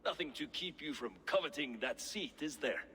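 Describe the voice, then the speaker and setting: Brief: a sarcastic, resentful Viking, high swinging pitch, echoing hall. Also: male voice, expressive, normal speed, bright, forceful tone. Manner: sarcastic, needling. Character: sneering, resentful male Viking warrior. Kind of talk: dramatic video game acting. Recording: reverberant hall, faint ambient noise.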